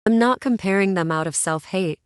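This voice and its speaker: A woman's voice: medium pitch, brisk, clean tone, dry recording. Earnest woman, dry close commentary. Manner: earnest, opinionated. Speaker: video creator. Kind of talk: scripted commentary voice-over.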